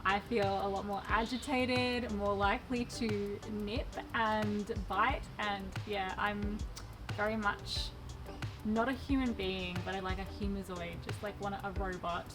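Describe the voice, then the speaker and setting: A woman in her twenties giving lively, playful interview answers and laughing often. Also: mid-range voice, measured pace, far from the mic, over music. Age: 20s.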